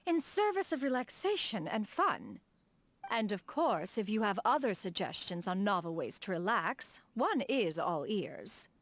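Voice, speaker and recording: A woman's voice: mid-range voice, very animated, a little slow. An actress voicing a refined, dignified immortal woman and proud inventor in a video game, speaking in a formal, stately manner. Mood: eager, proud. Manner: formal, stately, refers to herself as "one". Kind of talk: video game character dialogue.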